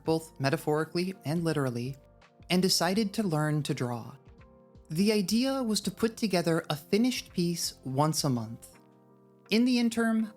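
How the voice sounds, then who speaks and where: A woman narrating a scripted video essay in a wry, drily humorous manner. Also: on the low side. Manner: wry, dry humour.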